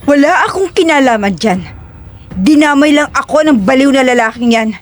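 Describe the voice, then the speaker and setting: Woman acting shrill, high-pitched indignant accomplice. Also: lively intonation, moderate pace, faint music behind. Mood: indignant, panicked. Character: indignant female accomplice protesting her innocence. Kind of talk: audio drama acting.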